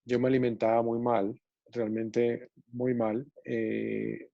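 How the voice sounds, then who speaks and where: A man in his forties from Venezuela with a Venezuelan accent, telling his story as a guest on a video call in a relaxed way with many pauses and fillers. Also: mid-range voice, no echo. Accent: Venezuelan Spanish. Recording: muffled video call audio.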